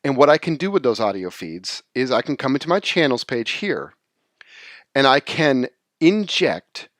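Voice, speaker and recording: A man presenting an unscripted software tutorial, explaining in a halting way and rephrasing as he goes. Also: medium pitch, dry recording, studio quality. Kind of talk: informal screen-share tutorial, unscripted explanation.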